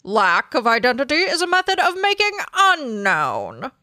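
A woman podcast host reading a book aloud dramatically, in a deliberate, declamatory way. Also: sits high, huge pitch swings, sharp tone.

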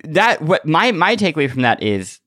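A man's voice: on the high side, normal speed, no room sound, studio quality. A man co-hosting a podcast in casual comedic chat, telling stories animatedly and acting out people's reactions. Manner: animated storytelling, acting out reactions in quoted lines. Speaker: podcast co-host.

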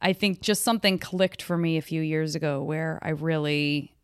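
A woman's voice: sits low, fairly even intonation, normal speed, clean tone, no room sound. Chatty woman host, dry sound. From Arizona. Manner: chatty, rambling with many restarts. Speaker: podcast host. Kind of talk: casual interview chat.

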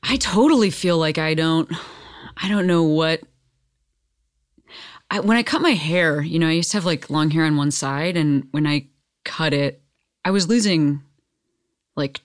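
A woman's voice: normal speed, no echo. Chatty woman host, dry recording. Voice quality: deep voice. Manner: chatty, expressive, laughs often. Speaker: interviewer, host. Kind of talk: casual conversational interview.

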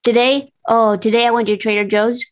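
A woman's voice: a little high, steady tone, a little fast. Wry woman hosting a game podcast. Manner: dry, wry, reads listener chat aloud. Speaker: host. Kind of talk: casual podcast banter. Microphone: video call.

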